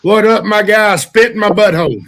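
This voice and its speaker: A man's voice: high voice, fairly quick, fairly bright tone. A man from Arkansas bantering on a stream, greeting viewers in slangy chat. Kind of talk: casual livestream chat.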